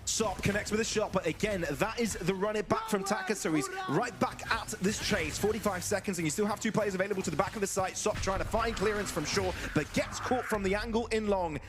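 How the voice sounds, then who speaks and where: A man's voice: sits high, a little fast, hardly any pauses. Guy casting a pro gaming match live, chatty but can get hyped, music going under him. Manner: conversational, breaking into excited calls. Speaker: esports play-by-play caster. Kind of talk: live esports commentary.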